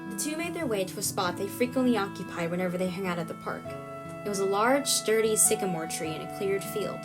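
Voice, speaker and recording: A woman narrates a scripted short story in an audio drama. Her voice sits at an average pitch for a woman, with music clearly playing behind it. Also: expressive, brisk.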